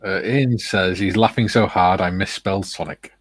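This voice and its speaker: Male stream host, low dry voice, jokey chuckling delivery reading chat aloud. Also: normal speed, no echo.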